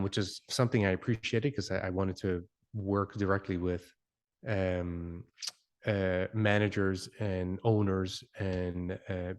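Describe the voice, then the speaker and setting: A man in his forties, an interview guest raised in Canada whose first language is Italian, speaking reflectively with many fillers and restarts. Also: low voice. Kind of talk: conversational interview.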